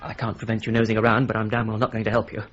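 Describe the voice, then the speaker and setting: An actor playing a defensive young solicitor, prickly and hesitant, in an old radio play. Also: male voice, lowish voice, monotone, very fast, fairly bright tone, lightly acted. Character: defensive, proud young male solicitor in love. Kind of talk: 1950s-style radio drama acting. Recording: dull vintage radio recording, faint hiss.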